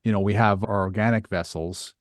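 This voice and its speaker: A man's voice: fairly low pitch, fairly even intonation, brisk, no room sound, clean studio sound. A man hosting an interview in conversation, speaking thoughtfully and tentatively as he works through his phrasing. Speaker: podcast host.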